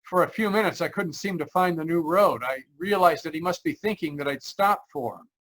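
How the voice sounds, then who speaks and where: A man's voice: fairly high pitch, a little fast, a bit bright, close to an everyday voice. A man playing an uneasy motorist who tells his eerie road story in old-time radio mystery style, with a hesitant, dramatic delivery. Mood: flustered. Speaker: actor, lead narrator. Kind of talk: 1940s radio mystery acting. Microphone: remote video call.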